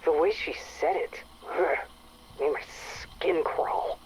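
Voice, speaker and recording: An actress playing an exasperated, sarcastic station chief griping into an audio log. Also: female voice, deep voice, slow. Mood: wary, irritated. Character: exasperated, sardonic female CIA station chief dictating a log. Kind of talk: acted audio log monologue. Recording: faintly noisy radio-like audio log.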